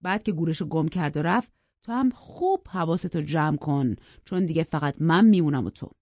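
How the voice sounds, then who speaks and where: A storybook narrator plays a surly elder brother who bullies and threatens. He speaks gruffly and impatiently, as if always accusing. The recording is dry and a bit muffled. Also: medium pitch, expressive, darkish tone, no room sound. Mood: angry, threatening.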